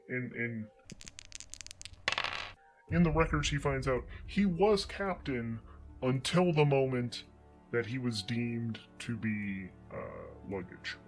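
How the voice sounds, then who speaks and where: A man narrating an improvised tabletop game story as solo game master, halting and often pausing to search for words. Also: mid-range voice, animated, poor audio. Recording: music playing under the voice. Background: prominent instrumental music.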